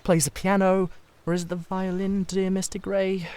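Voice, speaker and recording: A man voicing a gushing, scatterbrained society hostess with a shrill voice in a dramatised novel reading. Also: flat delivery, moderate pace, a little mellow, clean tone, close to an everyday voice. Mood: flighty chatter.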